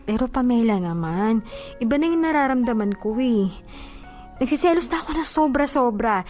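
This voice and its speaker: Actress as a jealous, hurt young Filipina, lively pitch. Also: female voice, moderate pace, faint music behind, close to an everyday voice. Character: jealous, hurt young woman confiding about her boyfriend. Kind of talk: Filipino radio drama acting.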